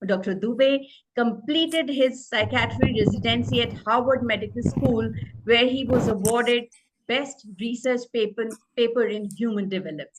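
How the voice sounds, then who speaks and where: A woman introduces a guest over a video call, formal and a little halting. Her voice sits fairly high. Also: a little hiss or hum, rough recording. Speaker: host, moderator.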